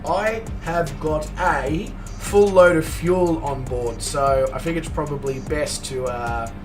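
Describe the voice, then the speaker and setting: A hoarse Australian man recovering from a lost voice, chatting casually with viewers while live-streaming a flight simulator. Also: a little high, slow pace, distant mic. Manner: chatty, greets viewers, thinks aloud with many fillers. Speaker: game streamer. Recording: a bit off-mic with music behind.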